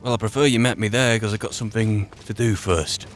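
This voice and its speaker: A man improvising in-character roleplay in a fantasy game, musing aloud in a halting way with pauses. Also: on the low side, fairly even intonation. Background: game music.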